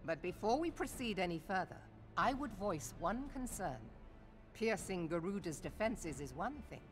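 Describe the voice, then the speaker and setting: An actress playing a blunt, commanding, battle-hardened admiral in a fantasy game, with stern, formal, archaic speech. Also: female voice, medium pitch, very animated, moderate pace, soft background music, natural voice. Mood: measured, formal. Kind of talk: fantasy video game cutscene acting.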